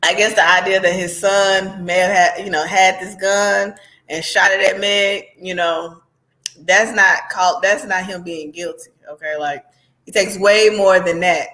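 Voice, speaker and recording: A woman's voice: medium pitch, steady tone, unhurried, a bit bright. A woman host gives casual commentary in a mid-range voice. She speaks directly to her audience, conversational and sardonic, as she reacts to what she shows them.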